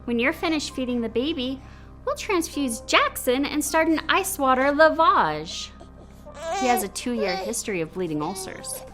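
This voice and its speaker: A woman plays a brisk lady scientist-doctor in a radio play. Her voice is bright and fairly high, swinging up and down as she probes and questions, with faint music behind. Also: very animated. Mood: brisk, pleased. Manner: questioning, exclaiming, clinical. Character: brisk, inquisitive female doctor in a secret laboratory. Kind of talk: radio drama acting.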